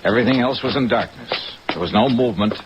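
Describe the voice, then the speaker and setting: A man's voice: mid-range voice, quick pace, natural voice. An American man acting a tough, world-weary, sardonic insurance investigator with clipped delivery in a 1950s radio drama. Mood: terse narration. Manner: clipped, hard-boiled delivery. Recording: dull old radio recording, faint noise. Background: rain sounds.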